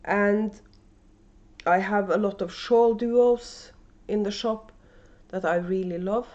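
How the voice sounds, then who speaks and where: A woman's voice: mid-range voice, fairly even intonation, slow, darkish tone. Norwegian woman chatting hesitantly, muffled sound. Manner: hesitant, many fillers and restarts. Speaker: knitting podcast host and yarn dyer. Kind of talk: casual unedited video podcast chat. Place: at home, indoors. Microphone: camera microphone.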